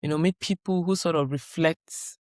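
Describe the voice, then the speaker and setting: A woman guest in a conversational interview, reflective and calm, repeating her key phrases for emphasis. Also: low voice, moderate pace, no room sound, clean studio sound. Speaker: guest, doctoral researcher.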